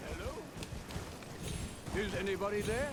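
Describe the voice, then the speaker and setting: A man's voice: high-pitched, measured pace, very noisy. An actor playing a pompous, self-important nobleman in a fantasy game, haughty and theatrical in his delivery. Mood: pleading, grandiose. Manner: haughty, theatrical, grandiose declamation. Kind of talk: scripted fantasy game acting. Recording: background music under the voice.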